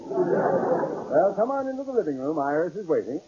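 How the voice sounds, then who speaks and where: An actor playing a jovial, needling older man, a genial host in a 1940s radio sitcom, with a rough, husky voice. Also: on the high side, sing-song, moderate pace, heavy background noise. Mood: hearty. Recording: dull old radio recording.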